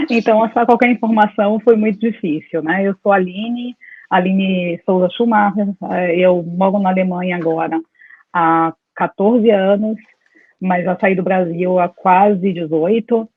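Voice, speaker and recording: A woman in her forties from Salvador, Brazil, talks over a video call that sounds tinny and phone-like. The audio is dry and her voice comes through a little husky. She tells her story at length and wanders off on side points. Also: medium pitch, a little slow, no room sound. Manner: talkative, meandering storytelling with laughs. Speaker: dentist, interview guest. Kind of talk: casual conversation over a call.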